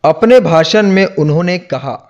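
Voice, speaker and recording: A man reading a scripted historical narration in a formal, measured manner. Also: fairly high pitch, animated, dull audio. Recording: music behind the voice. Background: background music.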